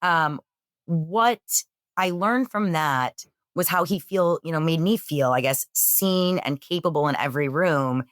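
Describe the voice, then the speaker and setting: A woman answering interview questions in an energetic, emphatic way; she says she has a loud voice. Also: deep voice, a little slow, no room sound, studio quality. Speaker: real estate executive guest.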